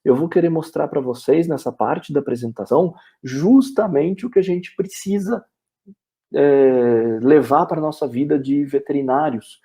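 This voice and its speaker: A man's voice: on the high side, measured pace, dark tone, slightly rough. A man with a Brazilian Portuguese accent giving a veterinary webinar lecture, explaining step by step, with "é" fillers and frequent "ok?" checks.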